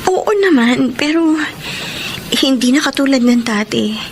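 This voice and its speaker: High-pitched troubled young Filipina wife. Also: female voice, measured pace, background noise. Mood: accusing. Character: troubled young married woman entangled with an admirer. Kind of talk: Filipino radio drama acting.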